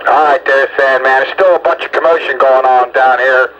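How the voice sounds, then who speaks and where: A man's voice: mid-range voice, fairly even intonation, normal speed. A man chatting casually over two-way radio as an operator checking in callers, with a folksy, rambling manner. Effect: two-way radio transmission. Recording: muffled CB radio with loud static.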